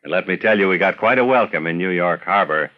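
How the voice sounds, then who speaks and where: A man's voice: sits low, quick pace, muffled recording. An older man narrating a scripted documentary with some personal reminiscence, as a storyteller of past times.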